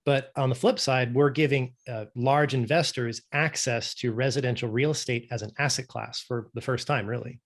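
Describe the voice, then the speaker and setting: A man who grew up in Norway speaks as a podcast guest, with a voice of ordinary middle pitch. He explains in a relaxed, conversational way, often checking in with a quick tag question. His manner is patient and explanatory. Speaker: guest, company director.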